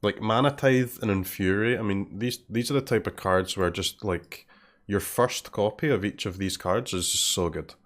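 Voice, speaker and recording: A man with a Scottish accent streaming a card game, thinking aloud in casual commentary, often dropping to a mutter and clearing his throat. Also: fairly low pitch, normal speed, fairly bright tone. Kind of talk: casual live commentary while playing.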